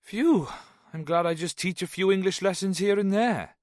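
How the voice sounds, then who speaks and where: A man voicing a jovial, leering fifty-something Welsh teacher, with a lilting, slow Welsh accent. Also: sits high, darkish tone, no echo, studio quality, voice pushed up, lightly acted.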